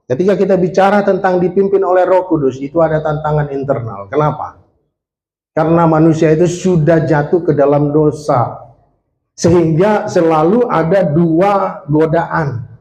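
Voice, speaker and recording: A man in his late forties with an Indonesian accent preaches to a congregation, pitched a little high for a man. He keeps throwing questions at the listeners and calling for amens. His voice rings through an echoey church hall. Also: darkish tone. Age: 40s. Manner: engages the congregation with questions and amen calls. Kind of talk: sermon.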